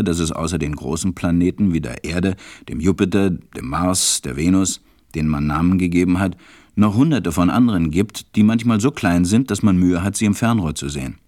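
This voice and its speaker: A man as a deep-voiced, serious stranded pilot. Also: darkish tone, no echo, clean studio sound, lightly acted. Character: stranded adult male pilot, serious narrator recalling events. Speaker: narrator. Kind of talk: storytelling narration in an audio drama.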